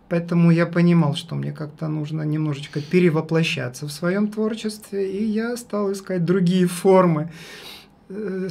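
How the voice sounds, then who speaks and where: A man with a high tenor voice, talking casually as an interview guest, haltingly and repeating his phrases for emphasis. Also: vocal fry, warm tone. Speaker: guest, singer and vocal teacher. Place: small radio studio. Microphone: studio condenser microphone.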